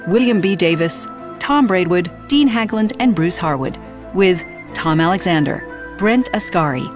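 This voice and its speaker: A woman announcer giving a formal, steady scripted reading of production credits. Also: fairly low pitch. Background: music.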